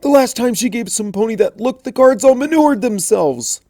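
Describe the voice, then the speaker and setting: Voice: medium pitch, animated, moderate pace, mellow, dry recording, studio quality, voice pushed up. A reader voicing a young, panicky baby dragon boy in a storybook reading, frantic and whiny. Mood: panicked. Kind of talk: audiobook fanfic reading.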